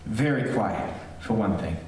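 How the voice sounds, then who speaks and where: A man playing a nervous radio reporter in a haunted house, halting and confiding in his listeners, in old-time radio horror style. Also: fairly low pitch, expressive, darkish tone. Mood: hushed, uneasy. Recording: echoey distant stage recording, faint noise.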